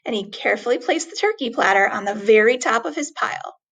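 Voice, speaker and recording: A woman reading a children's story aloud expressively, with deliberate, dramatic emphasis on words. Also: a little high, animated, a bit bright.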